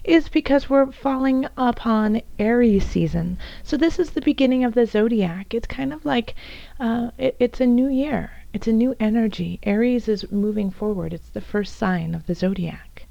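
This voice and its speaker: A woman with a raspy, hoarse voice from bronchitis, softly reading tarot cards for her viewers, at times almost whispering. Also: a little high, moderate pace, dry recording, highs cut off. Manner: soft, at times whispery, with fillers and restarts. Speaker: tarot reader.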